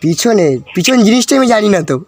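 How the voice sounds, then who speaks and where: Young man, slightly high rapid voice, teasing and insistent, noisy amateur audio. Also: animated. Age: young adult.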